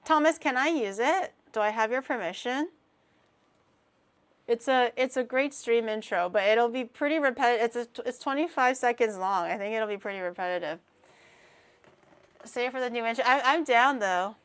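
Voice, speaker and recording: A woman's voice: a little high, normal speed, a bit bright, clear, resonant tone. A woman in her twenties streaming, chatting casually while reacting to clips, rambling, self-mocking and laughing often. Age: 20s.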